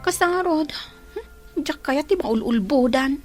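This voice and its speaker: Young working girlfriend, Ilocano accent, radio drama, highish voice, music underneath. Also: lively intonation, measured pace. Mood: hurt, disappointed. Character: Megan, hardworking young woman, hurt by deceiving boyfriend. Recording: music behind the voice.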